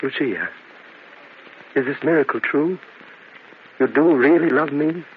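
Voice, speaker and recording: A man's voice: on the high side, unhurried. An actor playing an earnest, theatrical Italian nobleman in an old-fashioned radio drama. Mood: earnest, lovestruck. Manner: earnest, theatrical, formal wording. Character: embittered Italian nobleman narrating, once a lovestruck, trusting young man. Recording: muffled, hissy old radio drama recording.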